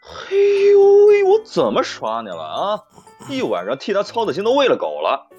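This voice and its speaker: A man's voice: high-pitched, wildly swooping pitch, moderate pace, a little mellow, breathy edge, dull audio, faint music behind, voice pushed up, heavily acted. A man playing a flustered, blustering young man who stammers out lame excuses in an audio drama. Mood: indignant. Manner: exclamatory, stammering, colloquial. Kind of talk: live audio drama acting.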